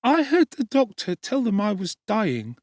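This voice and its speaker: A sickly little boy speaks softly and faintly, his voice high and thin, as a male narrator puts him on. Each plea comes out gentle and weak. The audiobook recording is dry and close. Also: wildly swooping pitch, unhurried, dry recording, full character voice. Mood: faint, tender.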